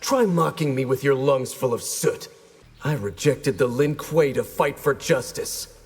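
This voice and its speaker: A man voicing a stern, loyal, defiant warrior in a video game, with a gravelly voice. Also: medium pitch, a little slow, a little mellow, big-room sound, natural voice. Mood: resolute.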